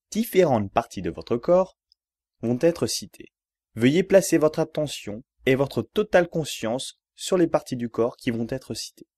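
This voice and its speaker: A man leads a guided relaxation at a very slow pace. His instructions are measured and repetitive, given step by step. The sound is dry and close, with no room around the voice. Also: mid-range voice, darkish tone, no echo. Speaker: yoga nidra relaxation guide.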